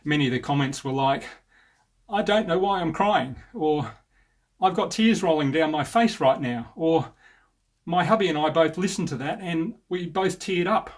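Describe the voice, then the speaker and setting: An Australian man in his fifties giving a measured, sincere personal narration as an air traffic controller, with a slightly husky voice. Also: fairly high pitch.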